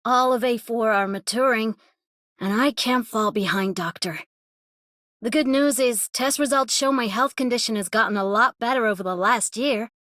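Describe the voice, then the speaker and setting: A woman's voice: on the high side, normal speed, fairly bright tone, dry recording, clean studio sound, lightly acted. A woman voicing a determined, optimistic young squad operator in a game, earnest and composed. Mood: sincere, upbeat. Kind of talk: scripted game voice lines.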